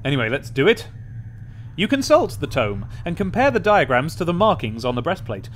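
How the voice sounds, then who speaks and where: A young man giving a gaming commentary, thinking aloud and reading game text in a playfully expressive way, close to the mic. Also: on the high side, brisk, slight background noise. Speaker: let's play host. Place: small indoor room at a desk. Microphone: close studio mic on an arm. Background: game music.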